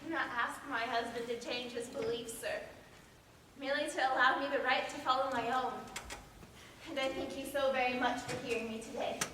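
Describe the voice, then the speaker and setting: A woman's voice: sits high, fairly even intonation, normal speed, natural voice. A woman on stage playing an earnest, formal 19th-century minister's wife who pleads her case with reason. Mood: pleading. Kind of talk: stage play acting. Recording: echoey hall, distant mic, faint noise.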